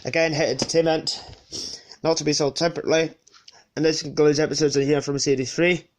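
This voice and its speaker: A man's voice: fairly high pitch, flat delivery, a little fast. A man with a sniffly cold casually presenting his collection unscripted, hesitating and correcting himself as he goes. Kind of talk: casual unscripted show-and-tell.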